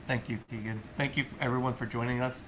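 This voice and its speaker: A middle-aged man presents to a webinar audience at a mid-range pitch. He speaks in halting phrases, often filling gaps with ums and stopping to clear his throat. Faint room ambience sits under his voice. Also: steady tone, a bit bright, a little hiss or hum. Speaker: company vice president presenting a webinar.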